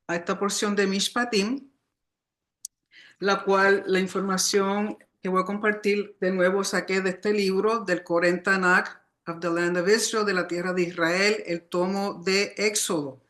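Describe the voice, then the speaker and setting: Female study teacher, unhurried didactic delivery, clear forward brightness in the tone. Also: medium pitch. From Puerto Rican family, born outside the island. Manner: didactic, explanatory, often reminding listeners. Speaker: religious teacher. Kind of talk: Torah study lecture.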